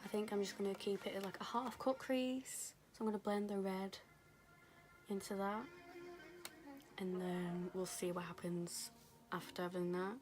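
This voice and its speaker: A young woman, rambling casually through a makeup tutorial, her voice going hoarse. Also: mid-range voice, steady tone, normal speed. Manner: chatty, rambling, lots of fillers. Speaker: beauty vlogger. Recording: music playing behind.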